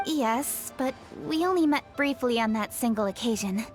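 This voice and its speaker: Game noblewoman female actor: young, efficient, considerate and formal, high-pitched voice. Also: expressive, moderate pace, natural voice. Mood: earnest. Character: polite, efficient, dutiful young noblewoman official. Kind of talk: video game cutscene acting. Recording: background music.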